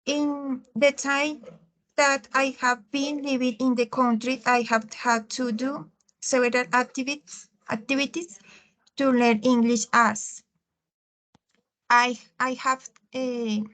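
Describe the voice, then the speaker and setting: Slow, halting young woman learner. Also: sits high, flat delivery, fairly bright tone, rough recording. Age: 20s. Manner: halting, with restarts and fillers. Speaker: English learner. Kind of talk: reading a class presentation.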